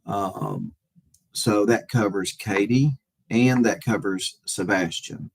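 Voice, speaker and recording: A man with a fairly low, husky voice hosts a casual livestream. He speaks off the cuff to his viewers in a halting way, often circling back to repeat a phrase before moving on. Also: poor audio. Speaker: livestream host talking with chat viewers.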